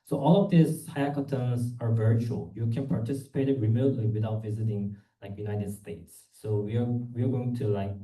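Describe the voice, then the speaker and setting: Young male student presenter, very mellow tone, informal and halting, picked up from afar in a roomy space. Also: medium pitch, steady tone. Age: young adult. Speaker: student club executive presenting at a conference. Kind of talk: conference presentation. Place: indoor conference room. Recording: echoey room, distant microphone.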